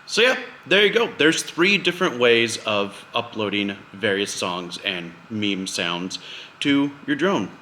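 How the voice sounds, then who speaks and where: A man's voice: fairly low pitch, big pitch swings, unhurried, reverberant, background noise. A man hosting a casual step-by-step tutorial in an informal, conversational way. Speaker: video host giving a drone tutorial.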